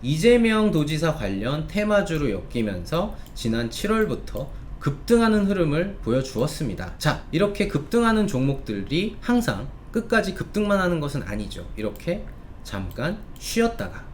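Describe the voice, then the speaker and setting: A man presenting stock market commentary with a sales pitch, polite and explanatory, stretching key words for emphasis. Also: very animated, moderate pace, slight background noise.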